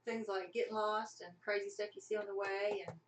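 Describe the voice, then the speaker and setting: A woman's voice: medium pitch, steady tone, brisk, slight background noise. A woman making informal, tentative suggestions in casual chat. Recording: echoey room, distant mic.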